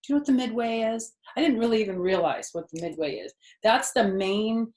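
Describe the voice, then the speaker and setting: A woman's voice: fairly high pitch, brisk, a little mellow. Echoey older woman reading a children's story. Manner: grandmotherly, explains things to young listeners. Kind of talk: expressive read-aloud of a children's book with chatty asides. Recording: echoey room sound.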